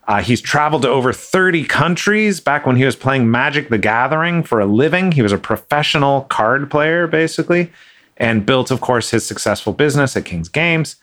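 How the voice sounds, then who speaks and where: A man's voice: mid-range voice, expressive, moderate pace, fairly bright tone. A man hosting a podcast, introducing a guest and chatting in a friendly way, with many fillers and restarts. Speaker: podcast host. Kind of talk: casual interview chat.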